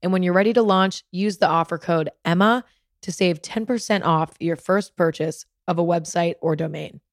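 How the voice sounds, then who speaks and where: A woman's voice: fairly low pitch, moderate pace, no echo, clean studio sound. A woman reading a scripted sponsor ad in an upbeat, promotional way. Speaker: ad reader. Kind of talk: scripted ad read.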